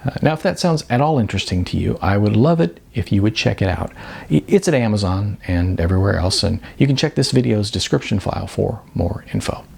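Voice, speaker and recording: A man's voice: fairly low pitch, animated, a little fast, creaky, a little mellow, husky. A man narrating as an author, reading from his own fiction. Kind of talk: scripted audiobook-style narration.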